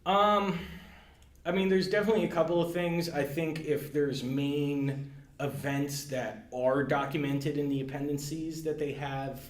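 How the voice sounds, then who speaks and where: A man's voice: fairly high pitch, fairly even intonation, measured pace, roomy sound. A man talking casually on a library podcast, hesitant, with many fillers and restarts. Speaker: guest, library staff. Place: room at a library.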